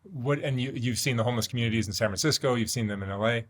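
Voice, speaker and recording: A man's voice: medium pitch, rapid-fire, fairly bright tone. A man interviewing a guest and asking direct, curious questions.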